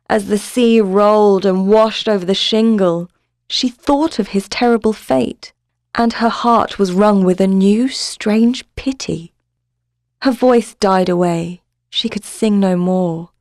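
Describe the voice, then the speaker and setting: A woman's voice: medium pitch, a little mellow, no room sound. Female story narrator, dry close sound. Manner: measured, literary, dramatic. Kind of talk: scripted dramatic story narration.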